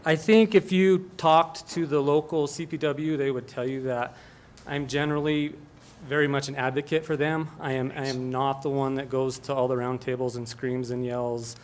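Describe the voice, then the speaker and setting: A man's voice: medium pitch, steady tone, normal speed. Earnest plainspoken man giving public comment. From Colorado. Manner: earnest and plainspoken, with frequent restarts.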